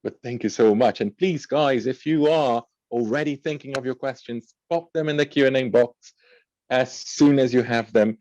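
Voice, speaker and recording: A man moderating an online panel, upbeat and halting between thoughts, heard over a video call. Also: mid-range voice, a little mellow. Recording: dull, dry video call audio.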